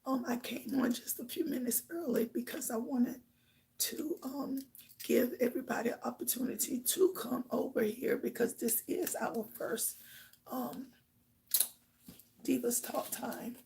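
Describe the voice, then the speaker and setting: A woman with a hoarse, raspy voice hosts her own live chat, speaking slowly with long pauses and a high-pitched tone. A gravelly fry runs through her speech, and she rambles with plenty of fillers. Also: steady tone, darkish tone. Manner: rambling, filler-heavy storytelling. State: hoarse from shouting. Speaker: livestream host. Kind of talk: casual chat to viewers.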